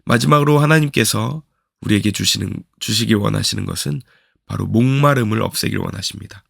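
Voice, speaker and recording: A man, a pastor, giving a slow, earnest devotional sermon, his voice hoarse from a cold. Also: on the low side, fairly bright tone, dry recording, studio quality. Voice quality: gravelly. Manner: slow, earnest preaching with repeated reflective questions.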